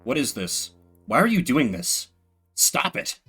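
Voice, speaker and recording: A man's voice: mid-range voice, sing-song, a little slow, fairly bright tone, husky, soft background music. A man doing solo video game commentary, reading game text aloud dramatically and adding sardonic, rambling asides. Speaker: game streamer.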